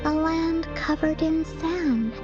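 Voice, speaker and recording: A timid young girl from an anime-style game, high-voiced and slow. She is earnest and careful with each phrase, a little hesitant, with music playing underneath. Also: lo-fi sound. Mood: careful reading aloud.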